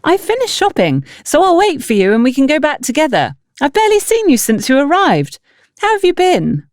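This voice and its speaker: Woman voicing a cheerful high-pitched chatty village sister-in-law. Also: wildly swooping pitch, a little fast, no room sound, clean studio sound. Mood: bright, friendly. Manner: bubbly, gushing, sociable.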